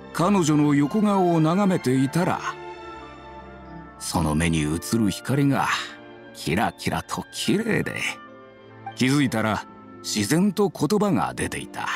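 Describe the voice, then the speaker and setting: Sentimental old village husband, lively pitch. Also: male voice, slow pace, pauses often, faint music behind, exaggerated voice. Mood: fond, nostalgic. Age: older. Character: sentimental elderly village husband, married fifty years. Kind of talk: Japanese anime game voice acting.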